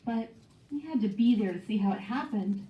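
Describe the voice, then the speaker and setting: A woman's voice: fairly high pitch, lively intonation, normal speed. A woman reading a children's picture book as scripted narration, heard played back in a classroom. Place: recorded read-aloud played back in a classroom. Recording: muffled classroom speaker playback, echoey, distant.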